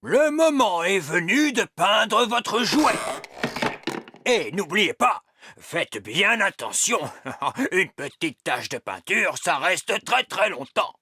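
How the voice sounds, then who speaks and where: A man's voice: high-pitched, very animated, background noise, exaggerated voice. A man voicing a wise old elf schoolteacher in a French children's cartoon, stern, formal and precise. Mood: kindly, instructive. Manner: measured, formal, hemming and throat-clearing.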